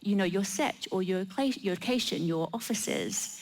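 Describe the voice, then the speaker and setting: Creaky-voiced woman explaining methodically. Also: medium pitch, animated, normal speed, darkish tone, low-quality recording. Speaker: panellist. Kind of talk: explanatory presentation. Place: live event in a theatre.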